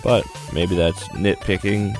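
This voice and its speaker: A man with a deep voice talks through a visual novel for a gaming video. His delivery is casual and offhand, loosely retelling the story. Video game music plays clearly behind him. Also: slow. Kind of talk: casual narrated first look of a visual novel.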